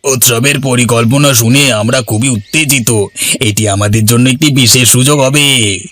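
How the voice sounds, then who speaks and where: A man playing an earnest, grateful villager in a cartoon, talking in formal, measured speeches. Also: mid-range voice, fairly bright tone, a little hiss or hum, close to an everyday voice. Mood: appreciative. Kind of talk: scripted cartoon dialogue.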